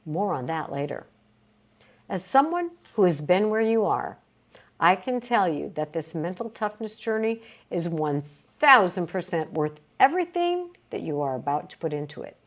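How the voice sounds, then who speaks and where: Woman reading aloud with lively, varied intonation. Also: on the low side, normal speed. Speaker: reader of a book read aloud. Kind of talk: read-aloud nonfiction, motivational self-help.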